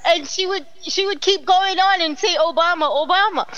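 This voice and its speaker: A middle-aged woman hosting a casual online talk show, animated and exclaiming, laughing often. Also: very high voice, normal speed, muffled recording. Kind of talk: casual live-stream chat.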